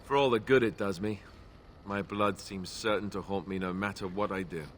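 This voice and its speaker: An actor playing an awkward, self-deprecating young warrior and reluctant heir in a fantasy video game, speaking in a hesitant, earnest, apologetic way. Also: male voice, fairly low pitch, fairly even intonation, normal speed, slight background noise, natural voice. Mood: anguished, apologetic.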